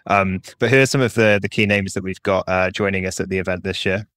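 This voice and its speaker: A male reporter hosting a podcast, talking quickly and casually with lots of fillers. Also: low-pitched, no room sound. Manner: quick and relaxed, many fillers like "um" and "like". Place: home office near London, dry sound.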